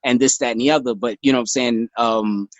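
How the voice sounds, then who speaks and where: A man's voice: mid-range voice, fairly even intonation, no echo, faint music behind. Guy from florida, lots of um and you know, muffled lo-fi call sound. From Florida, United States. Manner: halting, full of fillers and repeats. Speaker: new podcast co-host. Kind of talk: casual chat.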